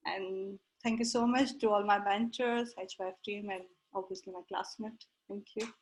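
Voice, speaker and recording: A woman presenting on software testing at a coding school graduation, going through her points one by one in order. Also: medium pitch, steady tone, normal speed, noisy background.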